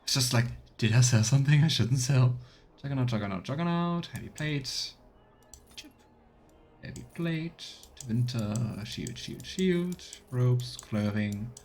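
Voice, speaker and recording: A man giving casual let's play commentary as a streamer, halting and murmuring to himself as he thinks aloud. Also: mid-range voice, lively intonation, darkish tone. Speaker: streamer playing a video game. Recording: clear background noise, keyboard clicks.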